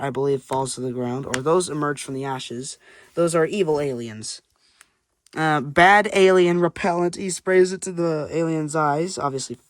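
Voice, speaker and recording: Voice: medium pitch, no echo. A person reading their own comic aloud with casual, rambling commentary and unsure asides. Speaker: comic creator and narrator.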